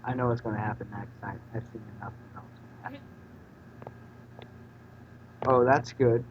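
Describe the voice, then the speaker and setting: A man's voice: mid-range voice, steady tone, normal speed. A man casually reading a story aloud and voicing its dialogue. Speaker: reader in a group fanfiction reading. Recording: dull, faintly noisy home recording.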